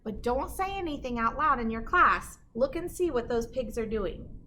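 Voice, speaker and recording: A woman's voice: fairly high pitch, lively intonation, moderate pace, pushed and bright, a little hiss or hum. A woman reading a children's storybook aloud like a teacher, with dramatic emphasis and chatty asides to her listeners.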